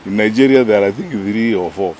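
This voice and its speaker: A man's voice: on the low side, quick pace, a little mellow. A man with a Kenyan accent speaking off the cuff as a party official at a press conference, emphatic and indignant, often putting rhetorical questions.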